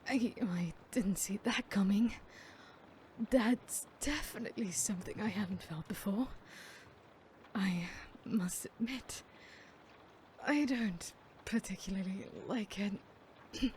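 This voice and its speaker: A woman playing a sadistic, smug female serial killer in an ASMR horror roleplay, theatrical and unhurried, often dropping into breathy whispers. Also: medium pitch, a little mellow, a little hiss or hum, close to an everyday voice. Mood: feigned weakness, menacing.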